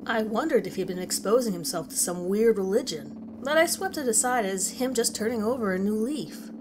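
A woman plays a concerned teacher recounting an unsettling horror story. The delivery is dramatic and swings widely in pitch, over clearly audible background ambience. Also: animated, a little fast, background noise, natural voice. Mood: uneasy, reflective. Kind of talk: dramatic scripted narration.

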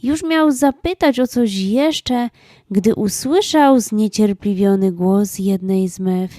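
A woman narrating a children's story in a calm, scripted storytelling style. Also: mid-range voice, takes it slowly, dry recording, clean studio sound.

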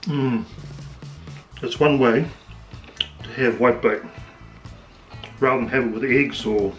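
A middle-aged New Zealand man talks through his cooking in a laid-back, rambling way, his voice slightly hoarse. His pitch sits in an ordinary middle range. He is picked up by a camera in a home kitchen, with faint music underneath. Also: slow, rough recording. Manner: relaxed, chatty, thinking aloud with fillers. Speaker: home cook vlogger. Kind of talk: casual cooking commentary.

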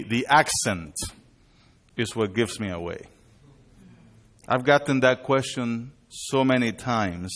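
A middle-aged man, born in Chile and raised in Panama, preaching at a lectern in a measured, deliberate way. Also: mid-range voice, a bit bright. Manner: measured, deliberate delivery with bilingual openings. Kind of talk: sermon at a worship conference. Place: indoor hall with a screen. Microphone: lectern mic, far camera.

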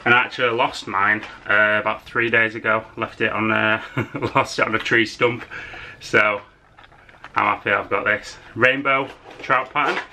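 Young guy unboxing fishing lures at his desk, chatty n upbeat, bright-ish voice, a bit of hiss behind. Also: lowish voice, fairly even intonation, moderate pace. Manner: easygoing, enthusiastic, chatty with filler words. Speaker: fishing vlogger. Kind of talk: casual product unboxing and fishing vlog. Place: small room indoors at home.

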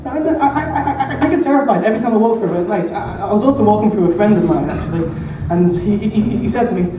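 A man's voice: sits high, racing. Young male stand-up with a stutter, self-deprecating and nervous; handheld mic in a small club, roomy and noisy. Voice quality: stutters, repeating words. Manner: nervous, self-mocking, laughing at own jokes. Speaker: stand-up comedian. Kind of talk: stand-up comedy set. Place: small dark club stage. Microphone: handheld mic, filmed from far in the room. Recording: echoey club room taped from far off, loud room noise.

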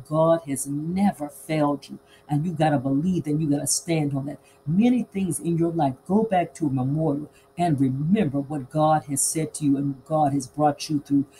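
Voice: mid-range voice, warm tone, a little hiss or hum, low-quality recording. A preacher delivering an emphatic sermon, repeating phrases and asking rhetorical questions then answering them.

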